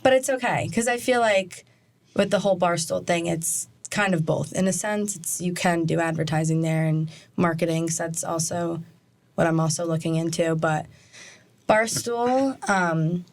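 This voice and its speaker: A woman's voice: lowish voice, normal speed. A young adult woman from New Jersey with a light New Jersey accent, a student guest chatting casually with lots of "like" and giggles. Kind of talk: casual chat.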